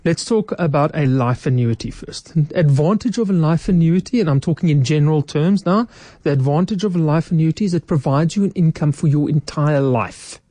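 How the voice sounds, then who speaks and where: A woman speaks as a guest in a radio studio, her voice mellow and soft-edged. She lays out financial advice in a practical, step-by-step way, with a dry, close sound. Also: low-pitched, fairly quick, no room sound.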